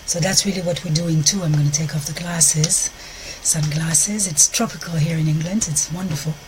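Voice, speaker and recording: A Belgian woman speaking English with a French accent, hosting a live stream outdoors in a park and pausing often to find her words. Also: sits low, steady tone, background noise. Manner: searches for words, frequent restarts, soft gentle delivery. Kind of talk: live-stream talk to viewers.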